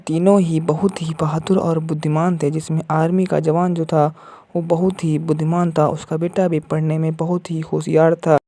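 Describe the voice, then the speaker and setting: A man's voice: fairly high pitch, flat delivery, normal speed, dark tone, dry recording. A man narrating an amateur cartoon story as the storyteller.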